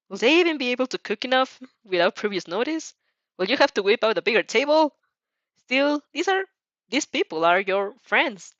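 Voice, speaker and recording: A woman's voice: fairly high pitch, a bit bright, no echo. Woman narrating fan fiction, slightly muffled. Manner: giggly, breaks off to comment. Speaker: fan fiction reader and dubber. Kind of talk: informal storytelling read-aloud, narration.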